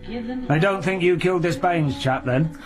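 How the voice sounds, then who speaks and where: A man's voice: high voice, animated, measured pace, music in the background. An actor playing a bitter husband questioning his wife in a radio drama.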